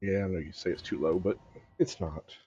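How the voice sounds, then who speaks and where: A man with a deep voice livestreams a game in casual, rapid chat. The audio is a little dull, with game sound behind him. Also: fairly even intonation, mellow, husky. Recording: dull stream audio with faint noise.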